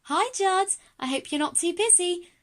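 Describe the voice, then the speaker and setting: A woman's voice: very high voice, animated, normal speed, pitched above the performer's own voice. A woman voicing an affectionate, eager, chirpy female character in an audio drama. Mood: sweet, eager. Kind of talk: scripted audio drama dialogue.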